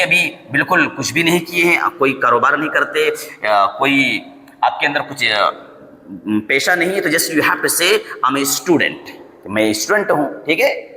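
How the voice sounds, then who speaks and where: A man's voice: on the high side, lively intonation, bright, forceful tone, slight background noise. Indian man teaching in an echoey room. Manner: repeats example phrases slowly, often checks understanding with short tag questions. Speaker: spoken English teacher. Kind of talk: instructional lesson to camera, switching between explanation and example sentences. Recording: echoey room with long reverb.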